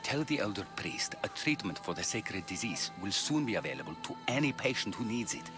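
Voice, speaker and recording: Low-voiced, expressive Greek physician. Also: male voice, fairly quick, over music. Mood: solemn, regretful. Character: dedicated, brisk male ancient Greek physician.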